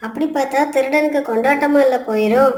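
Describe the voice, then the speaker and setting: Cartoon family woman female actor: caring and outspoken, high-pitched, echoey room. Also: quick pace, darkish tone, natural voice. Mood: confident. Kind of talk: cartoon moral story acting.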